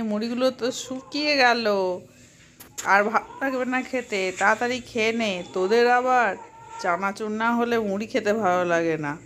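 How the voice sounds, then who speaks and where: A woman playing a practical father who coaxes and gently scolds children in a doll-play story. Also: mid-range voice, natural voice. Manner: coaxing, gently scolding, repetitive. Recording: faint background noise.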